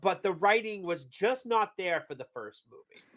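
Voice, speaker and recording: A man from New England with a high, bright voice, co-hosting a relaxed movie review chat. He rambles, trips over his words and restarts his sentences often. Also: a little hiss or hum. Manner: rambling, halting with fillers and restarts. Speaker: podcast co-host.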